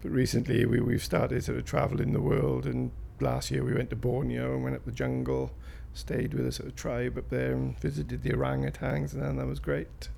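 A man's voice: mid-range voice, little pitch movement, moderate pace, vocal fry, few pauses, mellow, a little hiss or hum. A man in his fifties with a South Wales Valleys accent, answering interview questions in a hesitant, rambling way with fillers and restarts. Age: 50s. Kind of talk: recorded interview.

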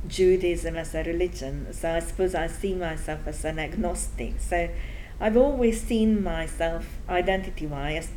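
A woman's voice: fairly low pitch, slight background noise, low-quality recording. Older woman with a Hungarian accent. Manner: thoughtful, halting, with frequent ums and soft laughs. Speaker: interviewee. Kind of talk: reflective personal testimony.

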